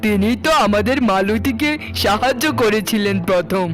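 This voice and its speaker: A man's voice: high-pitched, normal speed, music in the background, close to an everyday voice. A man voicing a humble, kindly poor young husband in a cartoon, respectful and earnest. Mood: kind, reassuring. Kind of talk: melodramatic cartoon voice acting.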